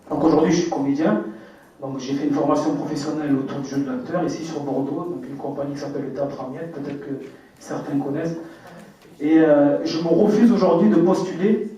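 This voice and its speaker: A man's voice: fairly high pitch, mellow. A man in his twenties from France, speaking earnestly at a public debate, hesitant and full of fillers and restarts. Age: 20s. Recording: echoey hall, distant mic, dull sound.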